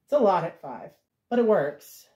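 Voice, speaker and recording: Hoarse, raspy woman co-host, rambling and chatty, recorded in an echoey room. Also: on the low side, big pitch swings, normal speed, dull audio, very noisy. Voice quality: raspy, recovering voice. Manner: chatty, laughs often, rambling asides. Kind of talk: casual chat.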